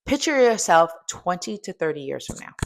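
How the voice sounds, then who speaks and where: A woman's voice: fairly low pitch, big pitch swings, moderate pace, vocal fry. A woman in her fifties giving a motivational coaching talk, emphatic and asking the listener direct questions. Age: 50s. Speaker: strength coach and host.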